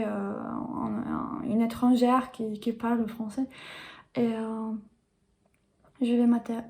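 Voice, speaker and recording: Young woman, non-native French speaker, video diary, mellow tone, halting speech with fillers. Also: a little high, gravelly. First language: not French. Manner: hesitant, many fillers and restarts. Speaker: vlogger.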